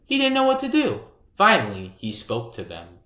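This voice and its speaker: A storyteller reading a children's picture book aloud, expressively and deliberately, with dramatic emphasis. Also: mid-range voice, wildly swooping pitch, a bit bright, roomy sound.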